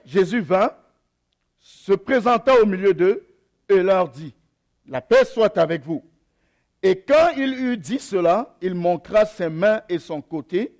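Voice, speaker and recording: A young adult man, a pastor whose first language is an African mother tongue, reads scripture slowly and then preaches with hesitations and repeated phrases. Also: sits high, expressive, a little mellow. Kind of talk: sermon.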